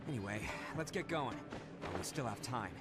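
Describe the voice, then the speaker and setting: An actor playing a cocky, good-hearted young oni gang boss in a video game, swaggering, theatrical and folksy. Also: male voice, medium pitch, expressive, moderate pace, lightly acted. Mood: earnest. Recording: game music behind the voice.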